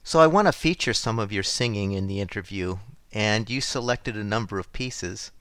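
A man's voice: fairly low pitch, moderate pace, fairly bright tone, clear, resonant tone, dry recording, clean studio sound. A man hosting an interview, asking plain, brief questions. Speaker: interviewer, host.

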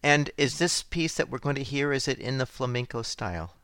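A man's voice: medium pitch, expressive, a little fast, fairly bright tone, clean tone, no echo. A man hosting an interview, asking plain, brief questions. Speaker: interviewer, host.

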